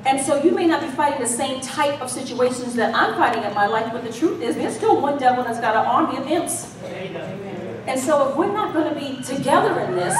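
A middle-aged American woman preaching a conversational sermon in a folksy, emphatic way, addressing the congregation directly. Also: high voice. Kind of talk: animated conversational sermon with call-and-response. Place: church stage, indoors, before a congregation. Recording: echoey hall, distant mic, audience murmur.

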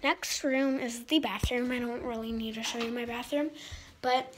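High-pitched young girl vlogging. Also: fairly even intonation, moderate pace. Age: child, about 10 to 12. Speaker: amateur vlogger. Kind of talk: casual home tour vlog chat. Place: inside a house, moving from room to room. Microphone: handheld phone at arm's length. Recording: noisy handheld phone recording.

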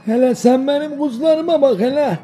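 An old village grandfather in a cartoon, very high in pitch and soft-edged in tone. He speaks a folksy Denizli Aegean dialect, fond and playful with the little ones. Faint music runs behind him. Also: brisk. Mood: playful, encouraging. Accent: Denizli, Aegean Turkish. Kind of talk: cartoon voice acting.

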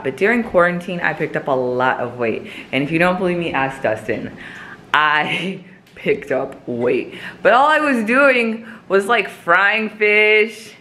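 A chatty woman with a slightly low voice talks casually to the camera at home, rambling and laughing. A faint hiss sits under her voice. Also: sharp tone. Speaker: vlogger. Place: home kitchen indoors.

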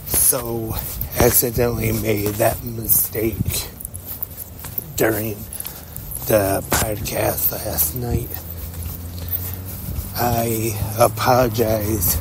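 A man with a stuffed-up, congested nose hosts a solo wrestling news podcast. He speaks very slowly in a flat, even tone. Steady background noise runs under his voice. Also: lowish voice. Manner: slow, measured and even, with pauses. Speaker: podcast host. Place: walking to work outdoors.

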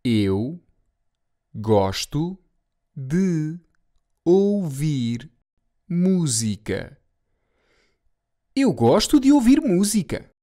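A speaker with a European Portuguese accent, slowly repeating phrases word by word for learners. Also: medium pitch, sing-song, dark tone, no echo, clean studio sound. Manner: slow, carefully articulated word-by-word repetition. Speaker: language teacher. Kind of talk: slow repetition drill for learners.

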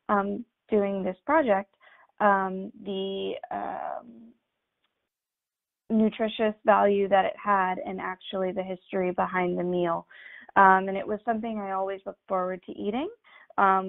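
Young woman w/ gravelly voice giving a class talk, lots of ums, sounds like a phone line. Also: medium pitch. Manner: earnest, with frequent ums. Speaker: midwifery student presenter. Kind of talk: recorded class presentation. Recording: tinny phone-like audio.

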